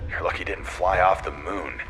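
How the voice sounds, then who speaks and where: An easygoing, teasing astronaut in an audio drama. His deep voice has a casual, folksy manner, heard as if over radio with music underneath. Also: fairly even intonation, brisk, poor audio. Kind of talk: naturalistic audio drama acting.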